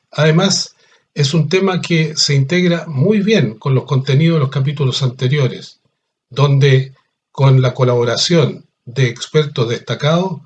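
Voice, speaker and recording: Man, interview host, formal measured delivery, husky voice, dull lo-fi recording. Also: medium pitch. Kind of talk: formal scripted introduction, then questions. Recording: dull lo-fi sound.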